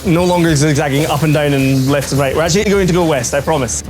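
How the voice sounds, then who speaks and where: A man's voice: a little high, fast talker, soft background music. A man chatting casually and wryly to camera as a sailing vlogger aboard a boat at sea. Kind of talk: casual vlog narration to camera.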